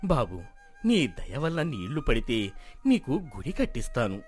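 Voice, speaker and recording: A man's voice: mid-range voice, very animated, a little slow, slightly rough, faint music behind. A man playing a stubborn, hopeful village farmer in an acted folk tale, speaking earnestly and pleadingly. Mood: hopeful, deferential. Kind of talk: dramatized folk moral story acting.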